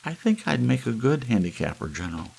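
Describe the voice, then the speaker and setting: A man's voice: mid-range voice, very animated, a little fast, gravelly, darkish tone, a little hiss or hum, pitched above the performer's own voice, rough recording. A man voicing a simple-minded, cheerful housewife with an artless, folksy, chatty manner, in an audiobook story. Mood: chatty, eager.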